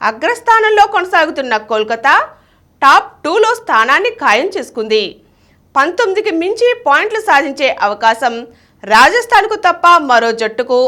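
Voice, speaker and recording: A woman reads the news from a script. Her voice sits very high and has a bright, forceful edge. The intonation is animated, moving widely up and down as she narrates the bulletin. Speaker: news reader.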